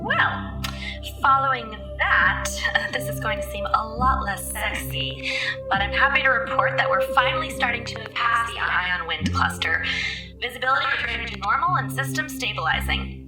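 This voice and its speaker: An actress playing a cheerful, precise space station computer in an audio drama, formal but playful. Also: female voice, on the high side, big pitch swings, moderate pace, distant mic, over music, poor audio. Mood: bright, reporting.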